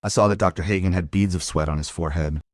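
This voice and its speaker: A man's voice: deep voice, steady tone, fast talker, no room sound. A man playing a dogged police investigator who narrates his case in a dry, matter-of-fact way. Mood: measured, matter-of-fact. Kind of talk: scripted crime audio drama narration. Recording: music under the voice. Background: music.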